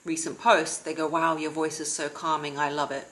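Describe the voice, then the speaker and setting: A woman in her thirties giving casual advice to camera from her living room sofa, in a calm, steady, self-described monotone. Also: low-pitched, moderate pace. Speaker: vlogger and confidence coach.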